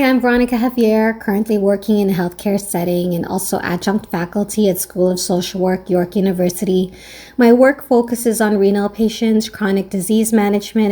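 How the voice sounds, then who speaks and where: A woman with a mellow, warm voice introduces herself briefly. She speaks in a matter-of-fact way about her work in health care. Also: medium pitch. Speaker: healthcare social worker and adjunct faculty.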